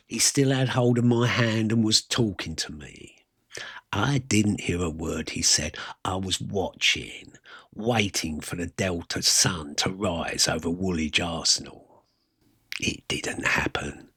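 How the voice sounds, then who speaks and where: A man reads a scripted first-person story in a fairly low, husky voice. He leaves dramatic pauses between phrases. The sound is dry and close, with no room to it. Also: animated, fairly bright tone, no echo. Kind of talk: scripted literary narration, reading a story aloud.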